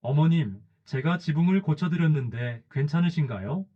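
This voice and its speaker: A man's voice: a little high, expressive, normal speed, narrow, tinny audio. A man voicing a greedy, smug elder daughter-in-law in a folktale, falsely sweet in manner.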